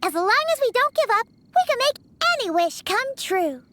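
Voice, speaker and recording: A woman's voice: extremely high pitch, very animated, moderate pace, a bit bright, slight background noise, heavily acted. A woman voicing a tiny, cheerful, chatty female fairy companion who speaks of herself in the third person, in a video game. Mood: earnest.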